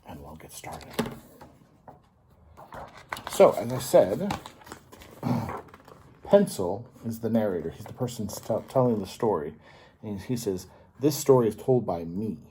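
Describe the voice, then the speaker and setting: A man reading a picture book aloud to children as a story time host, pausing to explain and ask simple questions in a teacherly way. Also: fairly low pitch, sing-song, dark tone, a little hiss or hum.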